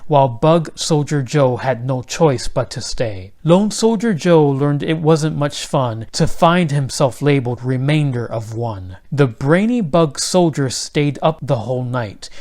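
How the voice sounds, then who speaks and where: A man narrating a children's rhyming storybook aloud in a measured delivery. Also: on the high side, a little mellow.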